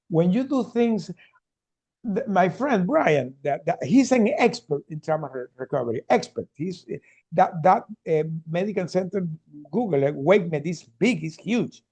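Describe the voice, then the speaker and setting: A man's voice: high voice, expressive, normal speed, darkish tone. A man from Venezuela, telling his story earnestly as a keynote speaker and often restarting his words. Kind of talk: storytelling talk on a video call.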